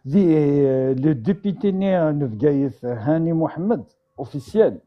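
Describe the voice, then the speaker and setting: A man's voice comes through dull, lo-fi call audio, a little higher than average. He chats casually on a live stream, often agreeing and hesitating with fillers. He slips Arabic words into his talk. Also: animated, slow pace.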